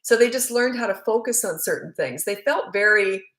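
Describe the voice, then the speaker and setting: Middle-aged Canadian woman coach, frank, rambling live Q&A talk, mid-pitched voice. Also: moderate pace, fairly bright tone. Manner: chatty, frank and digressive. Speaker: parenting coach. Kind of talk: live Q&A answering viewer comments.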